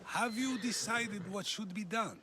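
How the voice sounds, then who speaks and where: Condescending philosopher. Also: male voice, high-pitched, music in the background. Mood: musing. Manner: measured, condescending. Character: lofty male philosopher, condescending.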